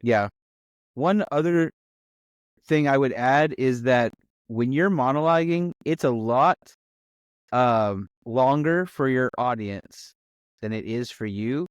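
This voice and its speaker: A man's voice: medium pitch, slow pace, pauses often, muffled recording. A man with a mid-range voice explains his advice conversationally in a dry, echo-free recording. He talks as a panelist, laying out points and checking that listeners follow. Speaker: discussion panelist on a game master advice show. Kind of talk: casual explanatory chat.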